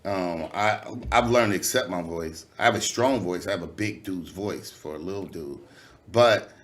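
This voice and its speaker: A man with a very low voice that still comes out nasal, talking. He speaks loosely and candidly, full of "you know" and casual swearing. It sounds like a relaxed interview chat. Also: normal speed. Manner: loose, candid, swearing freely, many fillers. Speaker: rapper, guest.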